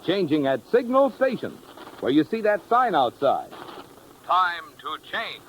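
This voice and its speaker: American guy doing old radio commercial, lively swooping highish voice, punchy slogans, bit of noise. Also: a little slow, low-quality recording. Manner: emphatic, repeated slogans. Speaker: commercial announcer. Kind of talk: 1950s radio advertising pitch.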